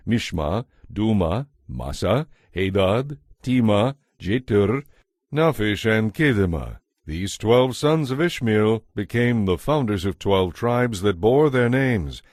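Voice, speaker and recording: Low-voiced man narrating scripture. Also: a little mellow, dry recording, clean studio sound. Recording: music behind the voice.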